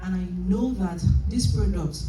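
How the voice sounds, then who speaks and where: A woman delivers an animated, emphatic sales training talk with a mellow, relaxed tone. She sounds distant from the mic, her voice ringing through a big echoey convention hall. Faint room noise sits behind her. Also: medium pitch, moderate pace. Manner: animated, emphatic, laughs often. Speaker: business trainer.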